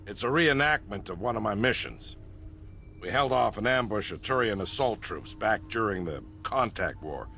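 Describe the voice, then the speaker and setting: An actor playing a gruff, battle-hardened older military commander in a video game, blunt and dry as a mentor. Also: male voice, lowish voice, expressive, moderate pace, sharp tone, heavily acted. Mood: blunt, measured. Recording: game music behind the voice.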